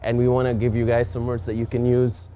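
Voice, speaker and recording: A man's voice: medium pitch, monotone, fairly quick, dark tone, smooth. A man with a Filipino accent, from the Philippines, playfully teaching local words on stage, coaching and laughing often. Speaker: language segment host.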